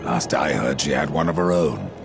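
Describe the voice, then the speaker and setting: Casting: sardonic Pale Rider of a game cinematic, extremely deep and gravelly, menacing, loud music underneath. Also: male voice, lively intonation, normal speed, full character voice. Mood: dry, sardonic. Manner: menacing, dry, blunt. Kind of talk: video game cutscene acting.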